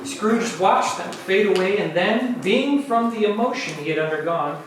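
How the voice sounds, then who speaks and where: A man's voice: sits high, lively intonation, moderate pace. A man reading scripted narration for an amateur dramatic play reading. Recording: very echoey room, distant mic, faint noise.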